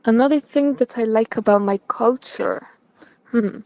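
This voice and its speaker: A young woman from Egypt, a student, answering interview questions in a relaxed way and sometimes searching for words. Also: on the high side, moderate pace, no room sound. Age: young adult. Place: at home.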